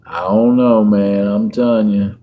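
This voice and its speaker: A man's voice: lowish voice, fairly even intonation, a little slow, mellow, slight background noise. A man, chatting and joking with viewers on a casual livestream. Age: 50s. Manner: laid-back, joking, chats with viewers. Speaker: livestream host. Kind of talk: casual livestream chat while sorting coins.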